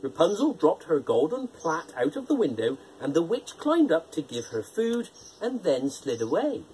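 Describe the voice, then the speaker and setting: A storyteller narrating a children's fairytale in an expressive, theatrical manner. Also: mid-range voice, moderate pace, slight background noise, poor audio.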